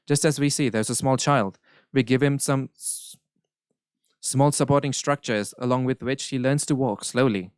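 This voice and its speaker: Smooth-voiced man interpreting. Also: medium pitch, no echo, clean studio sound. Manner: measured, earnest, occasional self-corrections. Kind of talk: live interpretation of a spiritual Q&A.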